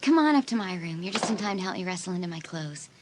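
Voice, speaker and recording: A woman's voice: on the low side, expressive, fast, background noise, natural voice. An actress playing a shaken, stubborn teenage girl in a horror audio drama. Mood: casual.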